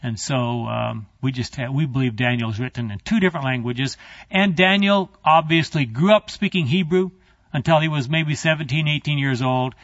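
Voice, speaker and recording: A man's voice: mid-range voice, normal speed, a bit bright, no echo. A man teaching a Bible class in a conversational lecture style, wandering into asides and speaking directly to his students. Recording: dry, slightly muffled recording.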